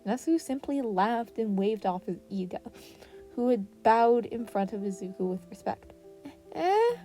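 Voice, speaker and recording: Mellow-voiced woman narrating a story. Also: mid-range voice, rough recording. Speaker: fan fiction podfic narrator.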